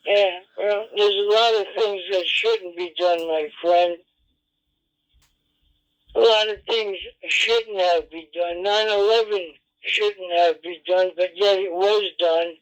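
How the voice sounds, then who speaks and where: A man with a notably high voice speaks at a slow, deliberate pace. He is combative and repetitive, swearing as he argues. The audio is a dull, lo-fi radio transmission. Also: steady tone. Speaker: amateur radio operator. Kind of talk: radio argument. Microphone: radio transceiver microphone.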